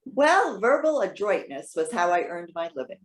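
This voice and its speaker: A woman's voice: on the low side, big pitch swings, moderate pace. A woman playing a proud, witty elderly retired social worker in readers theater, articulate, wry and pointed. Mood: wry, chatty. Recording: roomy echo.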